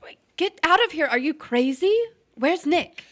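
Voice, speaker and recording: An actress playing a proud, restless young wife in a stage drama, defensive and sharp-tongued. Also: female voice, high-pitched, expressive, measured pace. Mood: flustered. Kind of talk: stage drama performed online.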